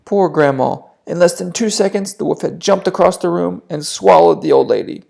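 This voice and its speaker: A man's voice: high-pitched, normal speed, gravelly, warm tone. A man narrating a children's bedtime story as a storyteller.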